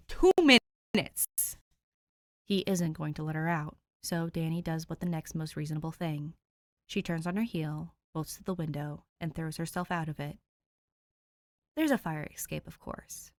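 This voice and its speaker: Woman fan fiction reader, expressive narration, excitable chat, dry sound. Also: low-pitched, normal speed, dry recording. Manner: expressive, chatty and excitable when talking to listeners. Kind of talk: reading fiction aloud, then casual chat.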